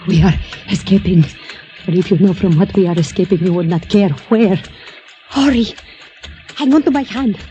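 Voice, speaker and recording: A woman's voice: mid-range voice, normal speed, mellow, natural voice. An actress playing a bold, commanding foreign woman on the run in a radio drama, speaking in terse, formal phrases. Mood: urgent, commanding. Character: bold, urgent foreign woman fugitive, admiring and seductive. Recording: muffled old radio recording, music behind.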